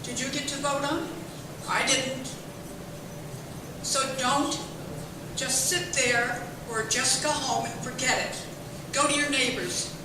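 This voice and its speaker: Loud, passionate woman in echoey hall. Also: sits high, fairly even intonation, slow pace. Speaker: audience member. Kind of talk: impassioned public comment. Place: public library meeting room. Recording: echoey room from far off with noise.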